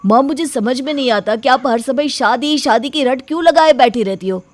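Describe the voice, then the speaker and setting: A woman voicing a reluctant, earnest young man, a dutiful son, in an animated story. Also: sits high, normal speed, lightly acted. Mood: exasperated. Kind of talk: Hindi animated story acting.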